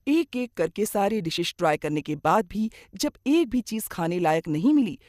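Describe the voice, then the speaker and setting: A woman's voice: medium pitch, animated, normal speed, a little mellow, no room sound, clean studio sound. A woman narrating a scripted moral story as a storyteller.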